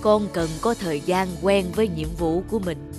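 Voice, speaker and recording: Ancient tree-spirit mentor for a cartoon fable, female actor: lowish voice, patient, measured, instructive, music underneath. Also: close to an everyday voice. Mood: wise, solemn. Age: older. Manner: measured, didactic, gently instructive. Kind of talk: animated fable dialogue, teaching.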